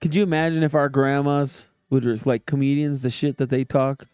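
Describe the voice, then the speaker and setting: A man's voice: medium pitch, level tone, fairly quick, a little mellow, clean tone, no echo, studio quality. A man hosting a casual podcast, mostly agreeing and laughing along with his guest. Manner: laid-back, short agreeing replies, laughs along. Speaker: podcast host.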